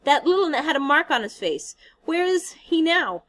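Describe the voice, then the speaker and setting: A woman voicing a shrill indignant schoolboy. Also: sing-song, normal speed, fairly bright tone. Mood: panicked. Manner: shrill, scolding, breathless. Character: fretful, indignant schoolboy stranded on an island.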